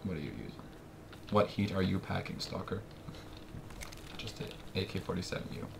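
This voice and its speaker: Raspy dude doing let's play commentary, super lively, lots of game noise behind. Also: male voice, low voice, normal speed. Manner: joking, muttering asides, mock voices. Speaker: gameplay commentator. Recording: noisy home recording with game sounds.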